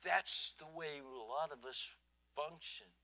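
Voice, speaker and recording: An older man preaching a folksy sermon on a church stage, swinging from quiet asides to loud emphasis. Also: a little high, slow. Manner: folksy, swings from soft to loud, asks "huh?".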